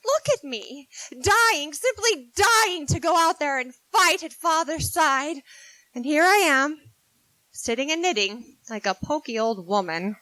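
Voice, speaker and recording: A woman's voice: high voice, animated, measured pace, bright, forceful tone, no echo, heavily acted, rough recording. A woman playing a blunt, spirited teenage tomboy with emphatic theatrical delivery in a 1940s-style radio drama. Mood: frustrated.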